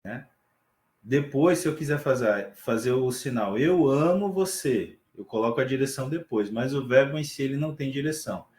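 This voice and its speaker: Man, teacher on a video call, relaxed and checks in with students, echoey room. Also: mid-range voice, moderate pace. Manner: relaxed explaining with frequent check-ins to students. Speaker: sign language teacher. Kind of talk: online class lecture.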